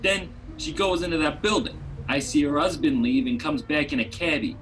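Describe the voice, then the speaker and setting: A man's voice: fairly high pitch, lively intonation, normal speed, a bit bright, poor audio. A man playing a pushy, shifty, wisecracking street hot dog vendor in a comedy radio play. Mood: gossipy. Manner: wisecracking, slangy. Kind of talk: radio drama comedy acting. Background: music.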